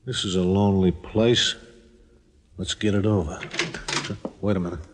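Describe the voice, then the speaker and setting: A man's voice: low-pitched, steady tone, moderate pace, natural voice. An actor playing a tough, wary male gangster in a 1940s radio crime drama, speaking in blunt, clipped phrases. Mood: menacing. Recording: old radio recording, reverberant, faint noise, clicks.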